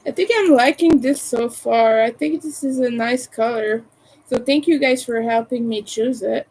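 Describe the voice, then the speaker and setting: A French Canadian woman from Montreal chatting casually on a crochet livestream, answering her chat in a soft, hesitant way with many fillers and small chuckles. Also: a little high, normal speed, breathy edge, poor audio. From Montreal, Quebec, Canada. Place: her own room at home.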